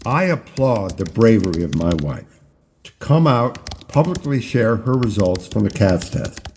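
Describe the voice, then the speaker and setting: An actor playing a composed scientist delivering a formal, deliberate public statement in an audio drama. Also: male voice, lowish voice, darkish tone, a little hiss or hum.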